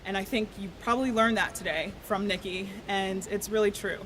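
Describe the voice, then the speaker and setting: Woman law student, earnest reception speech, mid pitch, noisy recording. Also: steady tone, a little fast, a bit bright. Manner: earnest, reflective, with fillers. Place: reception event.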